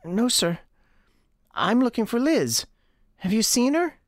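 A woman plays a lost little girl from a radio drama, with a Southern US accent. She is earnest, anxious and polite, her pitch swinging freely in a dry, close recording. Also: very animated, slow, no room sound. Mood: anxious, searching.

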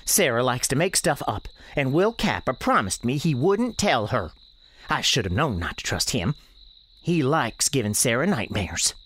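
A performer voicing an angry, defiant young girl with a Southern US accent and sharp, folksy speech, in an audio drama. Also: medium pitch, big pitch swings, moderate pace. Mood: defensive, bitter.

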